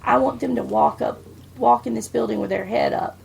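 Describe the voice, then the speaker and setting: A woman's voice: a little high, fairly quick, creaky, darkish tone, breathy edge, poor audio. A middle-aged woman, chatting bluntly as a podcast co-host and swearing freely. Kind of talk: casual chat.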